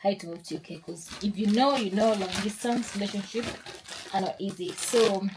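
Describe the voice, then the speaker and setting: A woman's voice: medium pitch, a little fast, background noise. Chatty young woman vlogging. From Kenya. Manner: chatty and upbeat, rambling with restarts. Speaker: lifestyle vlogger. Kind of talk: casual sit-down vlog chat.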